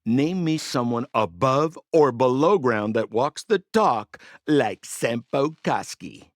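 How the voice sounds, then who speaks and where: Theatrical, boastful young swindler. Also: male voice, mid-range voice, lively intonation, slow, dry recording, clean studio sound. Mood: defensive, boastful. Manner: theatrical, salesman-like, wheedling. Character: smooth-talking, boastful young male swindler guide. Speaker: guide. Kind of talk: video game voice acting.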